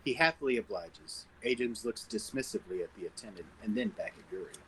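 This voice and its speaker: A man narrating stage directions at a script table read, with a slightly husky voice. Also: on the low side, animated, quick pace, a bit bright, a little hiss or hum, poor audio.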